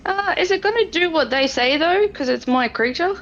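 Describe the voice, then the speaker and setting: A woman's voice: very high voice, lively intonation, moderate pace, a bit bright. A woman speaking casually as a player in a tabletop role-playing game. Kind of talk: casual chat. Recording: dull, slightly noisy recording.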